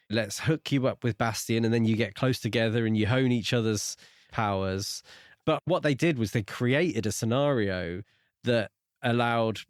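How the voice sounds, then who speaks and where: A man's voice: mid-range voice, no echo. Man in casual podcast chat, dry recording. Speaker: podcast co-host. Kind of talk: casual book discussion.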